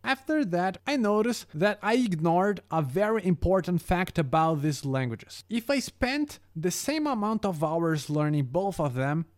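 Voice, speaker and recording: A man's voice: high voice, very animated, measured pace, few pauses. Man narrating his language-learning journey. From Brazil. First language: Brazilian Portuguese. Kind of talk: scripted narration.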